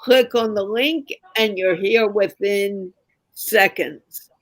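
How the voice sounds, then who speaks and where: A woman hosting an online group call, slowly guiding participants. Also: mid-range voice, no echo. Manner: slow, directing participants. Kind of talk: casual group video call.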